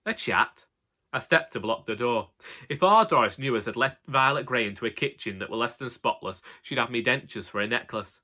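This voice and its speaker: A man with a Northern English accent tells a comic first-person story in a bright, forward voice. He plays a put-upon older husband, chatty and colloquial, with wry exasperation. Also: medium pitch, a little fast. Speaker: audiobook narrator.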